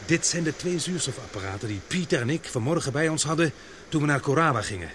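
An actor playing a capable young expedition man in a radio drama, speaking in a measured, matter-of-fact way. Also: fairly high pitch, expressive, fairly bright tone. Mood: grave, suspicious. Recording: noisy old radio play recording.

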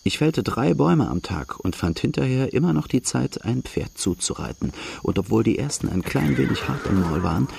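An actor playing a young Australian farm-boy outlaw, telling his hard youth in a plain, earthy, measured radio drama narration with a low gravelly voice. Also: very animated, darkish tone, full character voice. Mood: plain, reflective.

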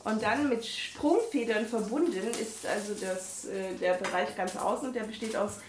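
A woman with a German regional dialect co-hosting a casual talk show, reading a book aloud with halting, amused asides. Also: medium pitch. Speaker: podcast co-host reading from a book. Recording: echoey room with faint noise.

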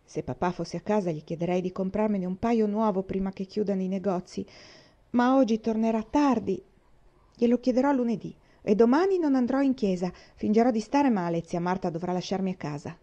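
A woman's voice: mid-range voice, a little fast, dark tone, muffled recording, lightly acted. A woman reading aloud voices a stubborn, defiant young girl who insists and snaps back. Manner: insistent, snapping.

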